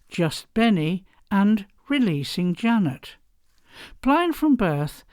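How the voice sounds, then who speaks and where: A woman hosting a faith podcast, reading a scripted introduction in a measured, expressive way. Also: on the low side, no room sound, clean studio sound. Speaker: podcast host.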